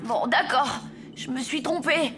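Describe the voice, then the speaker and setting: A woman's voice: sits high, unhurried. A woman voicing an impatient, curious, headstrong young boy in a children's cartoon. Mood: defiant, scoffing. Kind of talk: French children's cartoon dubbing. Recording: music behind the voice.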